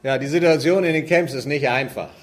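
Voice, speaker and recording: Man of average pitch, church team leader, conversational and earnest, posing rhetorical questions. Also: a little fast. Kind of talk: church talk.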